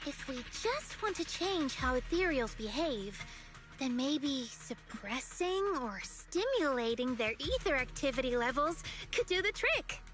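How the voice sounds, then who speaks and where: A woman voicing a curious, composed young female researcher in a video game, explaining things in a measured, thoughtful way. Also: sits high, very animated, music in the background. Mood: thoughtful, analytical.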